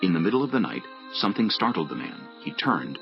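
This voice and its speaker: A man's voice: low-pitched, very animated, fast, creaky, highs cut off, faint music behind. A man narrating scripted scripture as the narrator of a dramatized audio Bible.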